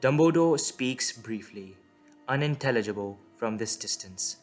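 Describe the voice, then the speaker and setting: A man's voice: medium pitch, lively intonation, unhurried. A man reading scripted dramatic narration as the narrator of an audio drama. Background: music.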